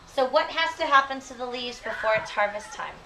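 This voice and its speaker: A woman teaching young children, reading aloud expressively and deliberately and checking their understanding with questions. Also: on the high side. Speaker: elementary school teacher. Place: school classroom. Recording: noisy classroom, distant microphone.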